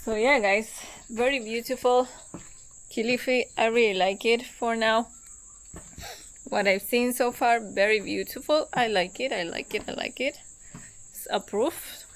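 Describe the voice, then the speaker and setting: A pregnant woman, speaking slowly and enthusiastically, repeating words as she narrates her travel vlog. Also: a little high. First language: Spanish. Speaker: travel vlogger. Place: outdoors at a lodge.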